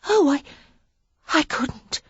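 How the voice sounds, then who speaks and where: A narrator voicing a shy, timid young noblewoman, speaking hesitantly and softly. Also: takes it slowly, no room sound, muffled recording. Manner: hesitant, soft, breathy. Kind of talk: audiobook character voice.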